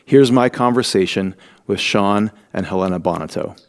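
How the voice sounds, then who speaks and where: A man hosting a church talk program, giving a measured, earnest scripted introduction. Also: fairly low pitch. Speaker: host.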